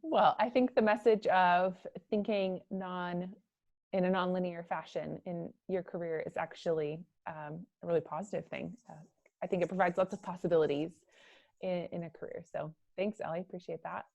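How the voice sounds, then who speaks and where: A woman's voice: fairly low pitch, steady tone, moderate pace, vocal fry, darkish tone, no echo. A woman hosting a virtual career panel, talking in a friendly way with many fillers. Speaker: host, career center manager. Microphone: video call.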